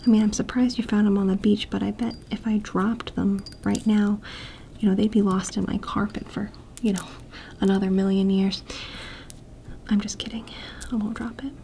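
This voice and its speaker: A woman doing a soft-spoken ASMR roleplay, mostly whispering and talking gently. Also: mid-range voice, level tone, moderate pace, vocal fry, slight background noise. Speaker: ASMR creator. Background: tapping and clicking sounds.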